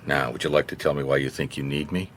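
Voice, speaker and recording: An actor playing a gruff, world-weary private investigator in a radio drama, dry and terse. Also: male voice, very deep voice, level tone, fast talker, a little hiss or hum. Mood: businesslike.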